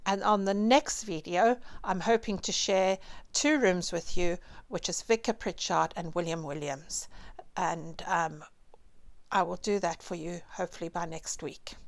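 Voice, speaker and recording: A woman's voice: fairly low pitch, unhurried, vocal fry. A woman closing her vlog in a friendly way, apologizing for her pronunciation and thanking viewers. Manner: friendly and apologetic, with light laughs. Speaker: vlog host. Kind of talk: vlog sign-off.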